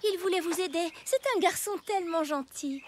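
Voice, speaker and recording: A woman voicing a kindly, doting mother in a cartoon, with a gentle manner. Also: extremely high pitch, brisk, slight background noise. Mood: fond. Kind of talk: French animated series dubbing.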